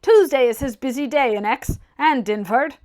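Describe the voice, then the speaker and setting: Woman playing a brash, loudly emphatic teasing gentleman. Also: high voice, moderate pace, close to an everyday voice. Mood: bluff, hearty. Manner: theatrical, emphatic, mocking. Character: brash, teasing bachelor gentleman with theatrical mock pity. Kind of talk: audiobook character dialogue.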